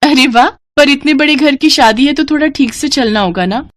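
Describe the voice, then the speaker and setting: A woman's voice: fairly high pitch, lively intonation, normal speed, fairly bright tone, no echo, studio quality. A woman voicing an eager, attentive young village wife in a children's moral story.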